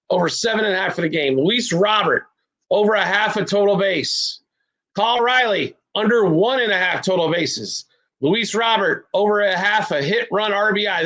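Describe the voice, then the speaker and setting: A man's voice: sits high, pushed and bright, low-quality recording. A man from the American Midwest hosting a sports betting show, rambling bluntly through picks with self-deprecating asides. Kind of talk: casual sports betting talk.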